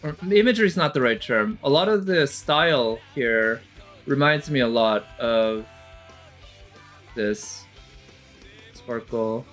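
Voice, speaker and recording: A man's voice: medium pitch, animated, takes it slowly, long pauses, a bit bright, soft background music. A man giving casual, off-the-cuff commentary as a streamer, analytical and hesitant as he thinks aloud. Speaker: reaction streamer.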